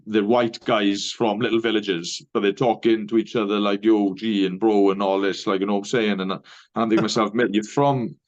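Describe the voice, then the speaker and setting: A man's voice: on the low side, little pitch movement, brisk. Man, Welsh accent, Welsh first language, earnest, reflective, middle-aged, slightly muffled sound. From Wales. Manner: earnest, reflective, says mate often. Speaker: barber, interview guest. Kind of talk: casual interview chat.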